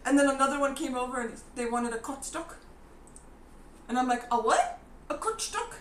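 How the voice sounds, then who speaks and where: High, lively woman, a bit off-mic. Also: moderate pace, distant mic. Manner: animated storyteller, acts out her own reactions, laughs a lot. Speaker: co-host of a live stream. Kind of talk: casual chat. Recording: echoey room, somewhat off-mic, faint noise.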